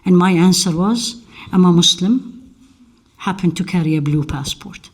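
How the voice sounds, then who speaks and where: A woman's voice: fairly low pitch, moderate pace. A woman from Iraq, a physician, giving an earnest faith-based lecture at a conference. She asks rhetorical questions and mixes in Arabic prayers and verses.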